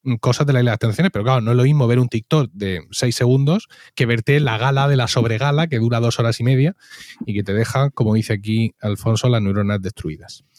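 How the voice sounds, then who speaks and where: A man's voice: medium pitch, quick pace, darkish tone, no room sound. A man with a Spain Spanish accent hosting a podcast, reading a scripted intro with dry, mock-pompous irony. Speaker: podcast host.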